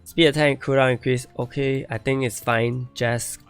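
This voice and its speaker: A man's voice: mid-range voice, fairly even intonation, moderate pace, clear, resonant tone, soft background music. A man streaming a game and reviewing viewer accounts, thinking aloud and repeating himself as he starts to lose his voice. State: losing his voice. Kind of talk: casual live-stream commentary.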